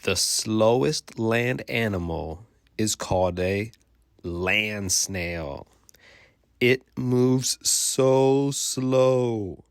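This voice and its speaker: A man's voice: fairly low pitch, drawn-out words, clear, resonant tone, no echo, clean studio sound. A man reading a children's picture book aloud like a teacher, explaining simply and putting questions to young listeners.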